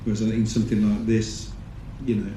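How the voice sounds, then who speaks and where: Measured low-voiced man on stage. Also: flat delivery. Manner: thoughtful, measured explanations. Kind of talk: panel Q&A answers. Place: large screening hall. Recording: echoey hall with audience noise.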